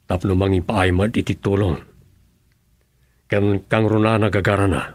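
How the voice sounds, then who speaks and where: Male actor, low-voiced aide, matter-of-fact, dry close sound. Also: flat delivery, normal speed, a little mellow, no echo, natural voice. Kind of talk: radio drama acting.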